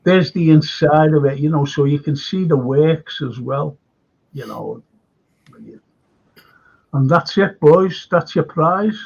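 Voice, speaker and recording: A man's voice: fairly high pitch, takes it slowly, creaky, pauses often, a little mellow, slightly rough, highs cut off. An older man hosting a casual fan chat show, rambling and chatty with lots of "you know". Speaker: host.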